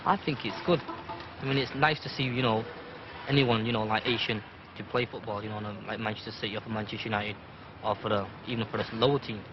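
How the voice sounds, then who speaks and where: A man chats through his interview answers, piling on little fillers as he goes. He talks fast in a flat, even tone. The recording is muffled 1980s audio with plain noise in the background. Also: on the low side. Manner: chatty, full of fillers. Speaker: interviewee, football fan.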